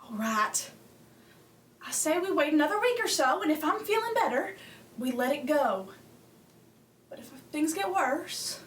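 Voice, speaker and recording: A woman playing an ailing, protective widowed mother in a 1940s American radio play, subdued, gentle and resigned. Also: sits high, big pitch swings, a little slow, long pauses, full character voice. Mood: resigned, worried. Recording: roomy, distant mic, faint music.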